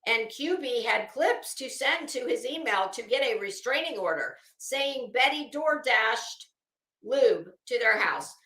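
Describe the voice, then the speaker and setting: A woman with a bright, forceful, slightly high voice reads a document aloud and comments on it in a sardonic, incredulous way. Her delivery is unhurried and blunt, with casual swearing. The recording sounds roomy and echoing. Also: expressive. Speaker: host of commentary show. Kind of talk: reading a court document aloud with running commentary.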